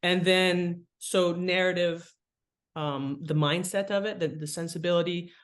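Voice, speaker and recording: A woman guest explaining her craft in conversational interview talk, with an animated manner. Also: on the low side, measured pace. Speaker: guest, fiction writing author.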